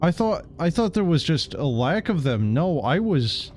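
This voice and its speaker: A man's voice: fairly high pitch, big pitch swings, a little slow, darkish tone, a little hiss or hum. A man streaming a game, casually commenting and reading text aloud.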